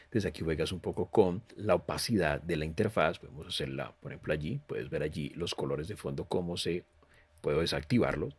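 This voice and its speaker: A man presenting an informal software walkthrough, explaining step by step and speaking directly to the viewer. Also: very deep voice, breathy edge, dry recording. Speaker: tutorial presenter reviewing audio software.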